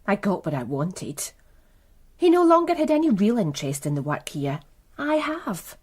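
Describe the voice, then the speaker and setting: A woman's voice: mid-range voice, sing-song, moderate pace, a little mellow, husky. An actress playing a cool, calculating and seductive businesswoman in a radio crime drama, poised, guarded and knowing. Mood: cool, defiant. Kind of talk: naturalistic radio drama dialogue.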